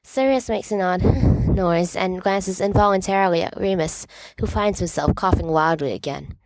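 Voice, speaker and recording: A woman's voice: lowish voice, normal speed, a little mellow, no room sound, poor audio. A woman reading a scripted story aloud as an amateur audiobook narrator.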